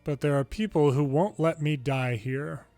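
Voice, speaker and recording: A man's voice: on the high side, normal speed, dark tone, faint music behind. A man reading video game dialogue aloud dramatically, acting out each character's lines in turn.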